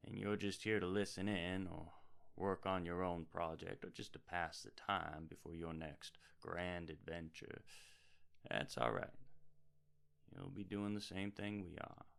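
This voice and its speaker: A man's voice: low voice, gravelly, a bit bright, exaggerated voice. A man playing an easygoing travelling bard from a fantasy world, hosting a radio hour with folksy, wordy, in-character storytelling.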